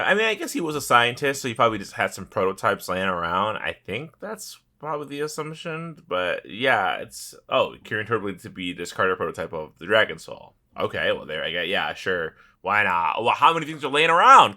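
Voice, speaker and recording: A man's voice: on the low side, sing-song, brisk, hardly any pauses, bright, forceful tone. A man co-hosting a podcast in casual gaming chat, with a sardonic, joking manner. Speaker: podcast co-host.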